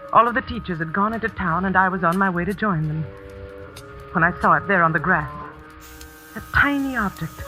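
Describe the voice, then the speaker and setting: An actress playing a tense, determined schoolteacher in a 1940s radio suspense drama, intense and halting for effect. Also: female voice, mid-range voice, bright, forceful tone, lightly acted, poor audio. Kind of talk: dramatic first-person radio narration, 1940s.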